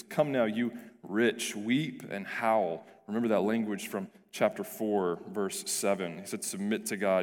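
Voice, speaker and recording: A male pastor with a hoarse, deep, raspy voice, praying and then preaching earnestly, often restarting and repeating words. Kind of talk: prayer and sermon. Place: church sanctuary. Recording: reverberant church hall with soft music.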